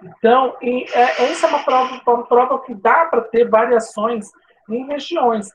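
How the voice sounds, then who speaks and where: Woman language teacher, coastal São Paulo accent, hissing S, slightly high voice, informal online class. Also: moderate pace, dull audio. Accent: Brazilian Portuguese, coastal São Paulo. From São Paulo state coast, Brazil. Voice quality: sibilant S. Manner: informal, chatty, frequent "né?" tags and restarts.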